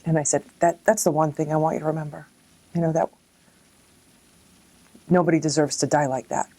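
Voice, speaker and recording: A woman speaking reflectively and earnestly in an interview, in a halting way. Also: low voice, little pitch movement, warm tone, dry recording.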